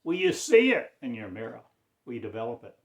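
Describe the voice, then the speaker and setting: A man delivering a rambling spiritual monologue in a slow, drawn-out, cryptic declaiming manner. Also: mid-range voice, wildly swooping pitch, reverberant.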